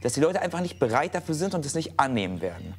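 A young man who works as a cook in a restaurant kitchen, talking casually and calling out orders briskly. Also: a little high, very animated, background noise. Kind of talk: casual talk during kitchen service.

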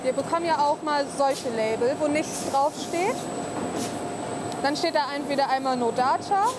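A woman's voice: high-pitched, moderate pace. A woman working in warehouse logistics, explaining her job in a matter-of-fact way at her workplace. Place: parcel warehouse. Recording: loud machinery noise in a warehouse.

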